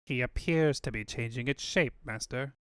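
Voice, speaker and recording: A man's voice: mid-range voice, moderate pace, smooth, no room sound, close to an everyday voice. A man voicing an obsequious, dry cartoon henchman serving a villainous master. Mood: servile.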